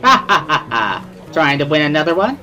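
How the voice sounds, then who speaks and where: A man voicing a bubbly, loud teenage schoolgirl who teases in a gushing, sing-song way. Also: a little high, big pitch swings, a little fast, faint music behind, pitched above the performer's own voice, exaggerated voice. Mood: teasing.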